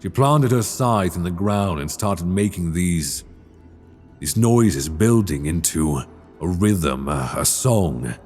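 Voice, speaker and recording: A man playing an ancient, proud and regretful dragon king, telling his story in a husky, brooding, theatrical way for an ASMR dark fantasy roleplay. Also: sits low, lively intonation, slow pace, faint music behind. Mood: wistful reminiscing. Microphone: close binaural microphone.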